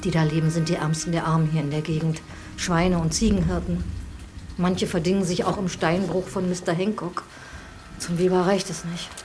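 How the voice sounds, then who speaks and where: Actress as a low-voiced, concerned housekeeper. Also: female voice, level tone, moderate pace, darkish tone, faint music behind, lightly acted. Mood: concerned, sober. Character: dutiful, concerned housekeeper of a village priest. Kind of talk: German radio drama acting.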